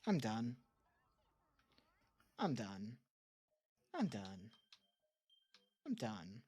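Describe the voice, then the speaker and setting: Expressive woman gamer, lively pitch. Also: very slow, husky. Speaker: streamer. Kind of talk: gaming livestream commentary.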